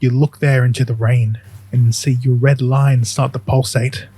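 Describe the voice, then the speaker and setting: A man's voice: mid-range voice, flat delivery, a little slow, warm tone, slightly rough. A man narrating a scripted audio drama in the second person, telling the listener what they do and feel. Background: music.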